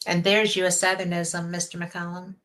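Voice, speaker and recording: A woman's voice: fairly low pitch, level tone, normal speed, a bit bright. An older woman with a Southern US accent, hosting a casual live-stream chat, chatty and folksy, laughing easily. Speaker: host.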